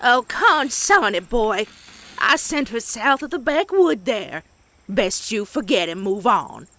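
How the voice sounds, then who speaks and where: A woman voicing a stern, bitter old farm grandmother pony in a comic dub, with a Southern US accent and folksy, deliberate delivery. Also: fairly high pitch, sing-song, bright, forceful tone, no room sound, heavily acted. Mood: furious, scolding. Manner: folksy, slow, deliberate storytelling. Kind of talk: dramatic character acting in comic dub. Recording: dramatic music behind.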